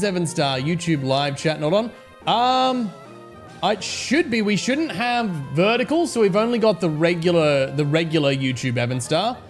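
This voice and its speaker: A man with an Australian accent and a slightly hoarse voice, chatting casually to viewers on a live game stream and greeting them by name. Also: on the high side. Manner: chatty, greets viewers by name, fretting over technical trouble.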